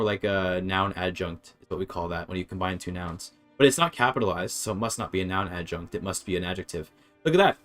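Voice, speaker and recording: A man casually streaming a game, thinking aloud as he reads his chat and works through grammar. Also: fairly low pitch. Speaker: streamer learning a language. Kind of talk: casual live-stream commentary.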